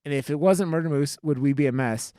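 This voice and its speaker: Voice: mid-range voice, fast, warm tone, no echo, clean studio sound. A podcast host chatting casually, with teasing, profane joking. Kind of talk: casual banter on a film review podcast.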